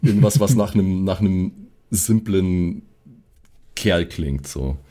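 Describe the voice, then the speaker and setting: A man in casual chat, haltingly thinking aloud and repeating himself as a podcast player. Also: low-pitched, animated, dark tone. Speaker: podcast player planning his character.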